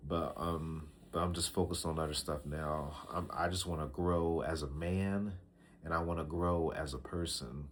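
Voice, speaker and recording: A man in his early thirties talking to a camera, giving casual life advice in a slow, reflective way, often repeating himself with fillers and restarts. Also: extremely low pitch, steady tone. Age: 30s. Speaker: vlogger.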